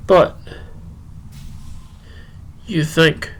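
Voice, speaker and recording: A man talking and reading poetry solo as a podcast host, halting, with drawn-out phrases and long pauses. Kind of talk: rambling solo talk and poem reading.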